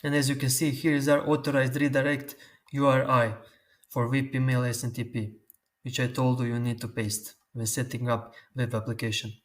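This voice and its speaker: Man explaining software steps. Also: mid-range voice, little pitch movement. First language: Croatian. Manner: slow, step-by-step instructions. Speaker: tutorial host. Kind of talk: screen-recorded software tutorial.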